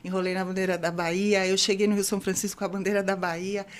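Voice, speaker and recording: A woman in her fifties from São Paulo, a guest on an interview podcast, telling her story in a lively way and acting out the dialogue she quotes. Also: medium pitch, fast talker. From São Paulo, Brazil. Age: 50s. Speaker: guest, open-water ultramarathon swimmer.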